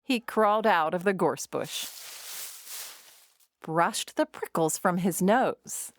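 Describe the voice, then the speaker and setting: A woman narrating a scripted children's story in an expressive, measured storytelling manner. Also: medium pitch, no room sound, studio quality.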